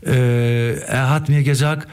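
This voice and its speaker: A middle-aged or older man from Istanbul with a Turkish accent, telling stories as a radio guest, haltingly and repeating words for emphasis. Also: medium pitch, fairly even intonation, a little mellow, smooth. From Istanbul, Turkey. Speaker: interview guest, theatre director. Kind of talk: casual storytelling in an interview.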